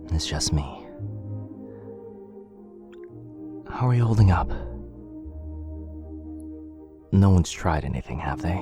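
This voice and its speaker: A man playing a tender, protective vampire lover in an intimate boyfriend ASMR roleplay, hushed, breathy and soothing, close to the mic. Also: low-pitched, natural voice. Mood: watchful, concerned. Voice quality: breathy, husky whisper. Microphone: close, dry microphone.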